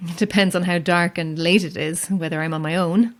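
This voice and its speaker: Actress as lone female lighthouse keeper: lowish voice, guarded, sceptical, wryly questioning, naturalistic horror audio drama. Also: fairly even intonation, a little fast, natural voice. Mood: guarded, sombre.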